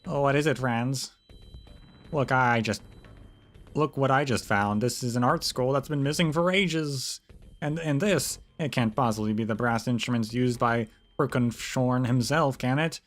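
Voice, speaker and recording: A man voicing an excitable elderly male professor who stammers eagerly over his finds. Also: medium pitch, a little slow, faint music behind, pitched above the performer's own voice, close to an everyday voice. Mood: excited. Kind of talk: game story read aloud.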